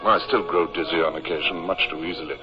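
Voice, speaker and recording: A man's voice: low-pitched, fairly even intonation, quick pace, lightly acted. An actor playing a reflective naval officer narrating memories of his youth in a 1950s radio drama. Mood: grave, reflective. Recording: muffled old radio recording with music.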